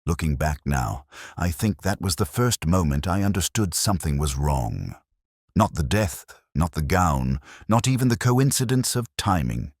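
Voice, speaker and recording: An older man playing a melancholy, cerebral detective, narrating a scripted mystery in a measured, reflective way. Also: sits low, big pitch swings, husky, dry recording, studio quality, full character voice. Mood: uneasy, reflective. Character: melancholy, cerebral, brooding male English police detective.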